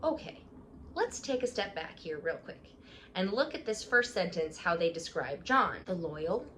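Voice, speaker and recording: A young woman with a fairly low voice talks casually to camera. She is sarcastic and quick with her asides. A faint hiss sits under her voice. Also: very animated, fairly bright tone. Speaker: vlogger. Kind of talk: casual commentary with a mocking dramatic reading.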